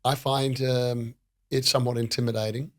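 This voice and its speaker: Thoughtful man in his fifties, mid-pitched. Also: flat delivery, moderate pace. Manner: reflective, self-conscious, thoughtful. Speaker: podcast host, pianist. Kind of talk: casual podcast conversation.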